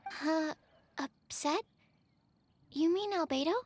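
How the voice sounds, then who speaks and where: A woman's voice: very high-pitched, slow. A woman playing a shy, earnest young female scholar in a video game, polite and hesitant as she explains things. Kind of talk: animated video game voice acting.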